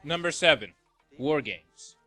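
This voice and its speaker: A man's voice: fairly high pitch, lively intonation, slow, pushed and bright, soft background music. A man reading a scripted review narration as the host of a countdown list video.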